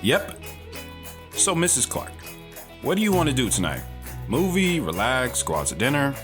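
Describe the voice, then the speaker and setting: A man's voice: mid-range voice, huge pitch swings, normal speed. Romantic young husband over guitar music male actor. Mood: romantic, poetic.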